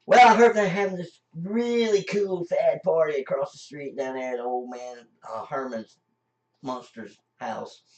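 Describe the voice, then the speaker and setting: A man's voice: fairly high pitch, unhurried, recorded from a distance, muffled recording, close to an everyday voice. A man playing a goofy, laid-back buddy in an improvised comedy radio play, speaking casually. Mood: easygoing. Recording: roomy echo, off the mic.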